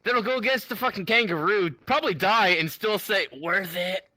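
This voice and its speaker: A man's voice: high-pitched, expressive, a little fast, no room sound. A man from the United States co-hosting a podcast in casual chat, brash and boastful, full of profanity. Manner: brash, profane and boastful, swings from loud to quiet. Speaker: podcast co-host.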